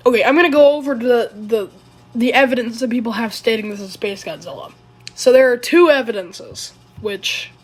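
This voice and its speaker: A woman with a sick, raspy voice, pitched a little high, talks slowly through a casual commentary video. She rambles, hesitates and keeps correcting herself. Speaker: video creator.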